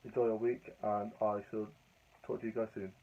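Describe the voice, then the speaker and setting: A young man with a hoarse, croaky voice from a cold, chatting casually in a rambling, upbeat vlog. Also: fairly low pitch, level tone, normal speed. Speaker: vlogger. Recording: tinny phone-like audio.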